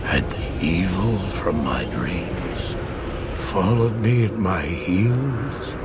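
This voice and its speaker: An actor playing a haunted, weary old man in a cinematic game, gravelly and brooding, narrating with halting theatrical emphasis. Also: sits low, expressive, exaggerated voice. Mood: fearful, bewildered. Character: haunted, weary old man recounting his travels with a dark wanderer. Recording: voice over loud cinematic music.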